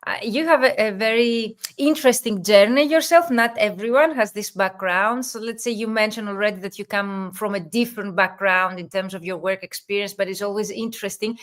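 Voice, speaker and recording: A woman's voice: mid-range voice, a bit bright. Chatty woman hosting a video interview. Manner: chatty, many "let's say" fillers. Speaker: business coach and host. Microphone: video call.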